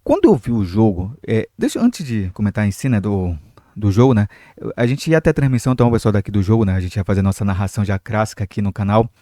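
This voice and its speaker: A man's voice: fairly low pitch, animated, quick pace, warm tone, dry recording, clean studio sound. A young Brazilian man with a cold hosting a football commentary channel, talking casually to his viewers with lots of fillers. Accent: Brazilian Portuguese. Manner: chatty, many fillers, addresses viewers directly. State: recovering from a cold, irritated throat.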